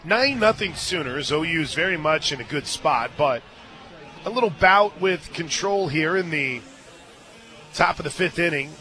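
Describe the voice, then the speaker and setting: A man doing live radio play-by-play commentary of a softball game as the announcer. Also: on the high side, lively intonation, measured pace. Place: outdoor softball stadium radio booth. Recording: stadium crowd noise and music behind. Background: crowd and music.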